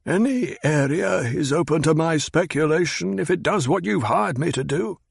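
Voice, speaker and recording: A man voicing a cold, sardonic old advisor who speaks in clipped, cutting sentences, pitched higher than the narration. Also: expressive, moderate pace, gravelly, slightly rough, no room sound, studio quality, full character voice. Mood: scornful. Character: cold, scornful old male mentat advisor.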